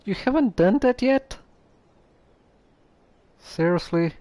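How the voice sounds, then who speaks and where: A game streamer of unclear sex giving casual, low-key live commentary with halting reactions. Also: lively intonation, dark tone.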